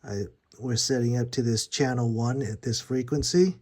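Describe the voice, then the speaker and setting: A man's voice: mid-range voice, moderate pace, darkish tone, a little hiss or hum. A man reviewing gear in a casual, conversational and slightly rambling way, explaining things as he goes. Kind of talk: casual product demo talk.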